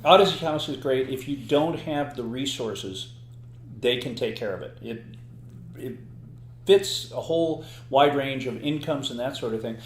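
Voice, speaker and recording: A man's voice: medium pitch, a little hiss or hum. Joking male podcast host in an echoey room. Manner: playful, quick with jokes and laughs. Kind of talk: casual joking chat.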